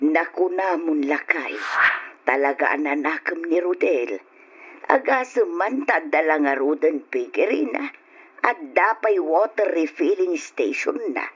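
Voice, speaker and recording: A woman's voice: low voice, lively intonation, measured pace, a little hiss or hum. An actress playing a middle-aged wife gossiping with her husband, on a lo-fi old radio drama recording. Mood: gossipy.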